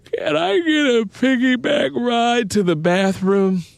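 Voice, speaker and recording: A man voicing a sleepy, wheedling teenage daughter in an audiobook, with a drawn-out, pleading manner. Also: extremely high pitch, animated, creaky, clear, resonant tone, no echo, voice pushed up, exaggerated voice.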